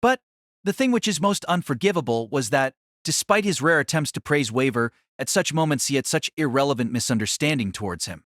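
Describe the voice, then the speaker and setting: A man's voice: mid-range voice, lively intonation, a little fast, fairly bright tone, no echo, studio quality. A man reading scripted, dramatic narration of a fantasy story as its narrator.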